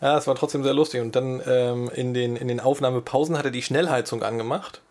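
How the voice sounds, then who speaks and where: A man co-hosting a podcast in easygoing casual chat, with quick asides and many short replies. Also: medium pitch, fairly even intonation, darkish tone. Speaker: podcast co-host.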